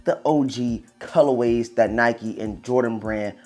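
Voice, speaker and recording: A slightly hoarse man who has partly lost his voice, hosting a video with casual, slangy hype chat aimed straight at his viewers. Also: medium pitch, measured pace, over music. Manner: slangy, informal, addressing viewers as bro and man. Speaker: sneaker video host.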